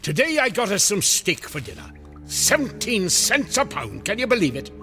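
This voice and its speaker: A good-natured janitor, a folksy underdog with a high, lively voice. His pitch jumps around in an animated vintage comedy style, with faint music behind. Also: moderate pace, full character voice. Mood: easygoing. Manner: folksy, animated, stammering when flustered.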